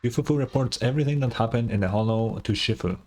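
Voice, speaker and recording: A man's voice: medium pitch, fast talker, a little mellow, faint music behind. A man streaming a game, casually reacting and reading on-screen text aloud in an offhand, unimpressed way.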